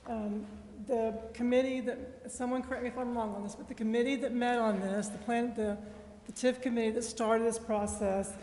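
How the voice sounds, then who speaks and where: Lady with a soft-toned mellow voice speaking up at a meeting, kinda halting, very echoey room. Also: fairly high pitch, steady tone, a little hiss or hum. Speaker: citizen speaking at a council meeting. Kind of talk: unscripted public comment. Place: indoor council chamber. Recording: echoey reverberant meeting hall.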